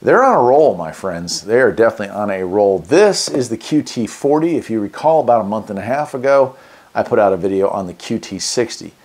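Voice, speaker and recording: A man's voice: lowish voice, lively intonation, a little fast, darkish tone. An American man hosting a casual product unboxing and review, talking in a folksy, enthusiastic way. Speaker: radio review host.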